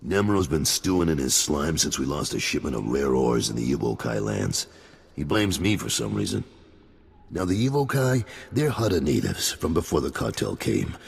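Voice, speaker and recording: A gruff gangster boss with a very deep, gravelly, raspy voice. He talks bluntly, with lively pitch swings, and long reverb trails after him. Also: normal speed, heavily acted.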